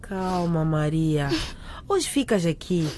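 A woman acting in a radio drama as a gentle young friend who consoles and soothes. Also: lowish voice, lively intonation, slow, a little hiss or hum. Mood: soothing.

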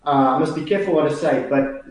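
A man's voice: fairly high pitch, fast, darkish tone, far from the mic. South African man in a very echoey room. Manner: hesitant, many fillers and restarts. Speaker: guest. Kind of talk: casual chat.

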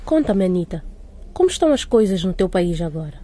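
A woman playing a formal, welcoming school headmistress in a radio drama, measured and courteous. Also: fairly low pitch, sing-song, background noise.

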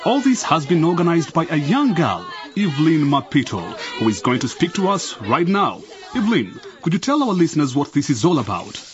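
An excitable male radio reporter broadcasts live in a radio drama. His fairly high voice swoops eagerly like an announcer's, on a slightly dull recording. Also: brisk. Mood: eager, animated.

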